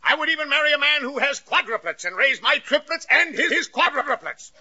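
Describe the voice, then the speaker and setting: A bossy, scatterbrained newspaper editor in a 1940s radio comedy. He rattles along in a very high, piercingly bright voice, blustering all the way, through a dull old recording. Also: expressive, brisk, heavily acted. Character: frantic, absent-minded, bossy newspaper editor, a grown man.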